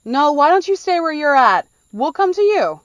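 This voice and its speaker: A woman's voice: sits high, very animated, normal speed, no room sound. A woman playing a firm, businesslike small-town sheriff in an amateur radio mystery.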